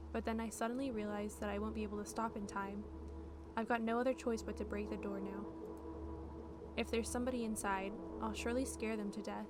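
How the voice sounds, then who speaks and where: A woman streamer calmly reading game text aloud in a fairly monotone voice. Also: medium pitch. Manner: calm, fairly monotone reading with chatty asides to viewers. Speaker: VTuber streamer. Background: game music.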